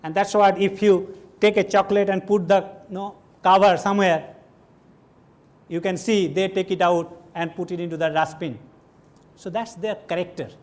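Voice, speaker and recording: A middle-aged Indian man with a Bengali accent lectures from a stage in a large hall. His voice sits high for a man. He talks loosely and chattily, wanders off into side stories, and checks in with the room using "okay?" and "you understand?". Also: fairly even intonation, moderate pace. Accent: Indian English, Bengali. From India (West Bengal). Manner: conversational, digressive, asks the audience questions. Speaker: guest lecturer. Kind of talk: classroom lecture.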